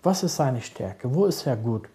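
Calm, measured man with a dark voice. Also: mid-range voice. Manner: calm, measured, searching for words with many fillers. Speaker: social worker advising fathers. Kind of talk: calm interview answers.

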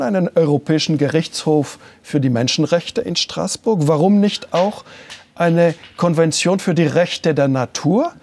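An older man whose native language is French, giving measured, deliberate answers as an interview guest and slowing down to stress his points. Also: fairly high pitch, vocal fry, darkish tone. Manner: measured, deliberate, slows down for emphasis.

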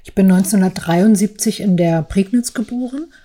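A woman gives a personal account in a measured, deliberate way. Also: mid-range voice, mellow. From Berlin, East Germany. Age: 40s. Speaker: artist telling her own story. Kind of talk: personal account for a podcast, partly read aloud.

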